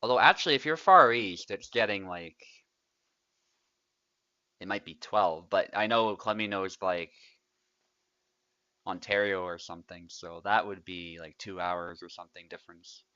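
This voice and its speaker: A man's voice: low voice, a little slow, pauses often, clear, resonant tone, dry recording, lo-fi sound. A young man, casually commentating a tournament he is also playing in, chatty and laughing often. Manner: relaxed, chatty, laughs often, trails off quietly.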